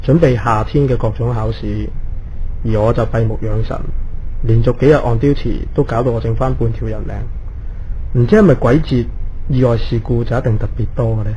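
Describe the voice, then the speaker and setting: Weary, uneasy hospital doctor played by a male actor, mid-pitched and mellow, for spooky radio drama. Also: steady tone, normal speed, highs cut off, slight background noise, lightly acted. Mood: uneasy, tired. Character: weary, easily rattled male emergency doctor telling ghost tale. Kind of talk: Cantonese radio ghost drama, narration and dialogue.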